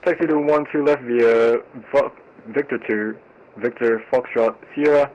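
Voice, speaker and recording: A man's voice: mid-range voice, steady tone, a little slow, a little mellow. A man playing an airline pilot in a flight simulation, reading back taxi instructions by radio. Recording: dull radio transmission with faint noise.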